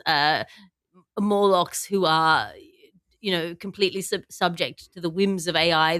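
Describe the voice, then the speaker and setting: Low-pitched woman hosting, very dry sound. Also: a bit bright, no echo. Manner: articulate, wordy, formal phrasing. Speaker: podcast host. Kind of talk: satirical news panel discussion.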